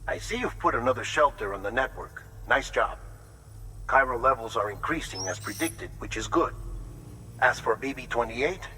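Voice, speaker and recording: An actor playing a measured, encouraging medical colleague in a video game, articulate and reassuring as he briefs. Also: medium pitch, sharp tone, a little hiss or hum, low-quality recording. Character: measured, encouraging male medical colleague giving mission updates.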